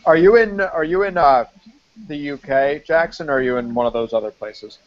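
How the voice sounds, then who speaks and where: A man's voice: fairly high pitch, moderate pace. A man in a casual live-stream chat about films who swears freely and talks in fillers like "like". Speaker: film site writer hosting a live-stream chat. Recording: muffled online call audio.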